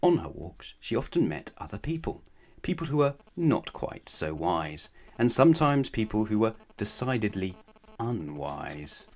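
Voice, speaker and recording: Low-pitched man reading a bedtime story. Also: sing-song, unhurried, darkish tone. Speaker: storyteller reading a children's book aloud. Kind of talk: children's bedtime story narration.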